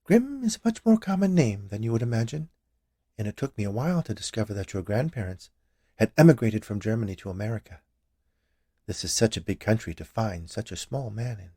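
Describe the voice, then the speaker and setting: A man's voice: on the low side, lively intonation, a little fast, warm tone, slightly rough, dry recording, clean studio sound. A man voicing a century-old witch confectioner in a story reading, gushing and theatrical with a vengeful streak. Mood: sinister, vengeful. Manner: gushing, theatrical, overly polite. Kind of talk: dramatized short story reading.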